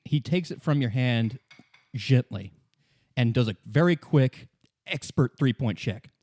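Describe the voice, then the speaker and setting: A man narrating an improvised tabletop game as game master, halting and informal. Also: medium pitch, expressive, dark tone.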